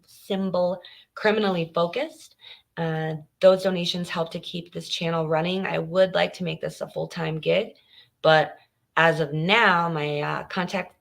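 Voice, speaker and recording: A woman with a low voice talks straight to viewers on a true crime livestream. She is earnest and chatty, and her talk is full of fillers. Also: fairly bright tone. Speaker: true crime podcast host.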